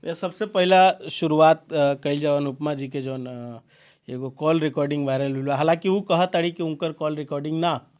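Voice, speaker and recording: A man's voice: a little high, normal speed, a little mellow, clear, resonant tone. A man with a Bhojpuri-region Indian accent, hosting and asking questions in a video news interview. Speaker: interviewer and host.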